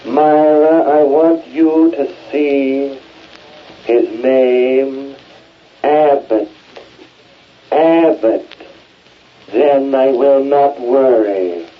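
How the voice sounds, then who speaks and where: The ghost of a dead husband, speaks in a low voice with an extremely slow, portentous delivery. It is 1930s radio drama on a dull vintage recording. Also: male voice. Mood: solemn, ghostly.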